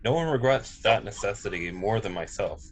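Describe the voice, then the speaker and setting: A man playing a polite, precise, emotionless android officer in a table read, speaking in formal, measured phrases. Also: lowish voice, expressive, slight background noise, lightly acted, rough recording. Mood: gently sincere. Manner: formal, measured, literal wording. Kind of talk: amateur table read of a sci-fi script.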